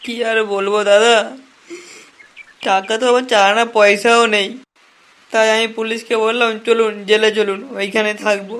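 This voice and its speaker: Man voicing a high, whiny broke young prisoner. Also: flat delivery, normal speed, long pauses, fairly bright tone. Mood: sheepish, pleading. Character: hapless broke young male prisoner, timid and pleading. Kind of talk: comic cartoon dubbing.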